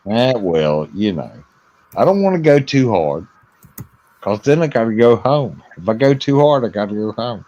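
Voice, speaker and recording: A man's voice: mid-range voice, very animated, normal speed, mellow, dull audio. A man of about fifty in casual group chat, joking and swearing. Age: 50s. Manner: joking, profane.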